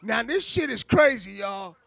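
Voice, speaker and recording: A man's voice: very high-pitched, animated, unhurried, vocal fry, fairly bright tone. An American man co-hosting a casual live radio chat, talking emphatically and repeating himself for emphasis. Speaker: radio show co-host.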